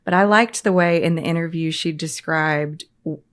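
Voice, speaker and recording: A woman co-hosting a podcast, introducing the guest in a relaxed, plainspoken way. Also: low voice, moderate pace. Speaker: podcast co-host.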